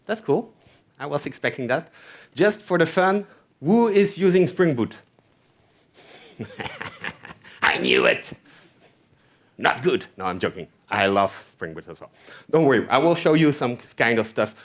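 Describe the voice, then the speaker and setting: Chatty French-accented man, lively pitch. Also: measured pace, long pauses. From France. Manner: chatty, keeps asking "okay?". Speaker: conference speaker. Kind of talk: tech conference talk with live coding. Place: conference hall stage.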